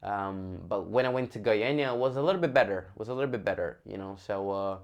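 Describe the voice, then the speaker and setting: A young Brazilian man with a Brazilian Portuguese accent, a fighter telling his story in a casual interview, speaking haltingly with many restarts. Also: on the low side, highs cut off.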